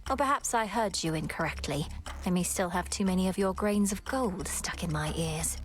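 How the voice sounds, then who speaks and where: A woman's voice: fairly low pitch, normal speed, lightly acted. A woman voicing a young immortal underworld goddess in a video game, a defiant warrior with cool, dry sarcasm and formal phrasing. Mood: dry defiance. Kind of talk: video game character dialogue.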